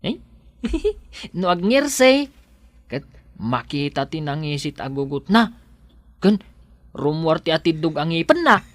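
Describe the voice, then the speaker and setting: A man's voice: on the high side, big pitch swings, slow, long pauses, fairly bright tone, dry recording, exaggerated voice. An actor playing a humble, hardworking rural man in an Ilocano radio drama, with an Ilocano accent. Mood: deferential, simple.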